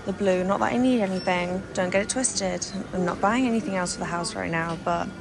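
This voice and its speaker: A woman's voice: fairly low pitch, fairly quick, vocal fry. A young woman chatting to her camera as she shops, gushing over what she sees. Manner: gushing, chatty, talking to camera while walking. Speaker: vlogger. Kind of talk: casual shopping vlog chat. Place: busy city street and shops, outdoors and indoors. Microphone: handheld camera at arm's length. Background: music.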